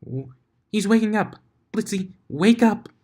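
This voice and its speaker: A man voicing an energetic, bubbly young party mare who squeals her lines. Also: extremely high pitch, a little fast, husky, voice pushed up, exaggerated voice. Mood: excited.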